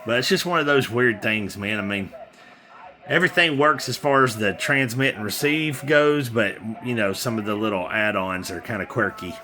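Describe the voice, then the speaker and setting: Man, low bright voice for an informal, rambling seller's demo; faint noise behind. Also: moderate pace. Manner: informal, rambling, hands-on while talking. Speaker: seller demonstrating used radio gear. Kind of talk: casual product demo chat. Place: indoors at a desk with radio equipment.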